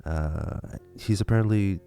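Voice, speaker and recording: A man's voice: sits low, measured pace, vocal fry, mellow, smooth, faint music behind. A young man from Lithuania with a Lithuanian accent, chatting casually on a livestream and answering viewer questions, with many fillers and restarts. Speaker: livestream host.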